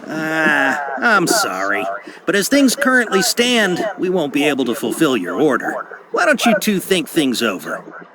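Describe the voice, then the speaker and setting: A man's voice: fairly high pitch, huge pitch swings, unhurried, a crowd in the background, low-quality recording. Casting: jittery polite merchant in a game, salesman patter, very varied intonation. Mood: guarded politeness. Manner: courteous, careful salesman patter. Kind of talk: video game character acting.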